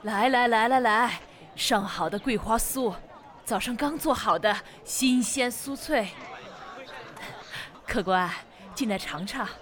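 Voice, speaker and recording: A woman playing an eager street pastry vendor calling out to customers in a Chinese period audio drama. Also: fairly high pitch. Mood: eager, inviting. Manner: calling out, drawn-out hawker's cries. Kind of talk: Chinese audio drama acting, market hawking.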